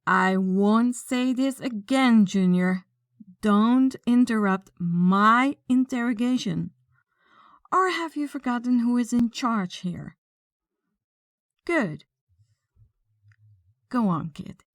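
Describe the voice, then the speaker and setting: A woman playing a commanding, coolly threatening female mafia boss in an audio roleplay, speaking deliberately and with authority. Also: a little high, lively intonation, no room sound, clean studio sound. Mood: stern, menacing. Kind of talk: ASMR audio roleplay acting.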